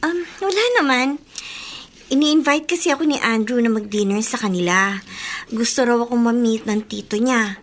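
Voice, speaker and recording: A woman with a Filipino accent playing a sweet, coy younger sister in a radio drama, expressive and playful. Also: a little high, normal speed, slight background noise, natural voice. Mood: sweet, eager. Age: young adult. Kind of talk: Tagalog radio drama acting.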